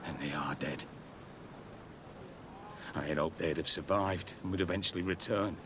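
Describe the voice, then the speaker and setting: An actor playing a weary, embittered military captain in a video game cutscene, with a bitter, self-deprecating manner. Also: male voice, sits low, moderate pace, noisy background, natural voice. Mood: grim, weary.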